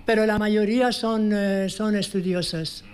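A woman with a Persian accent, a volunteer teacher answering interview questions in a measured, careful way. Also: mid-range voice, fairly even intonation, a little mellow, smooth.

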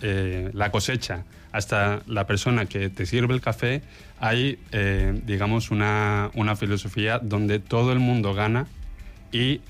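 Hesitant low-voiced male barista guest. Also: fairly even intonation, measured pace, faint music behind. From Colombia. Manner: hesitant, many fillers. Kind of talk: radio interview.

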